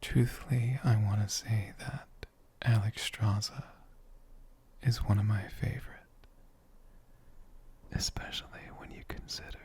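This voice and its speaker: A man voicing a sleepy, affectionate dragon shopkeeper in a soft, breathy, whispered ASMR roleplay, with a drowsy and gently formal way of talking. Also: lowish voice, steady tone, a little slow, long pauses. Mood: gentle, musing.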